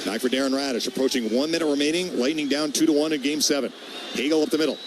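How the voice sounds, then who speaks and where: A male radio hockey announcer calling the game live. His voice sits a little high and he delivers the action in short, clipped phrases packed with player names. There is clear arena noise behind him. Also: quick pace. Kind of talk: live play-by-play sports commentary. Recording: noisy arena ambience behind the voice.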